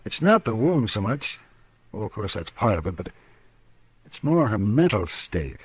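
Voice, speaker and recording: An actor playing a weary, candid frontier town doctor in an old radio western, speaking in a deliberate, matter-of-fact way. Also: male voice, lowish voice, very animated, slightly rough, no room sound. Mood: troubled, frank. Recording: noisy old radio recording.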